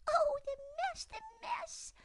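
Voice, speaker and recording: A woman voicing a tiny, excitable female dormouse who squeaks in a flustered, breathless rush in a storybook. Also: a little slow, dry recording, heavily acted. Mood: frantic. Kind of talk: storybook character voice.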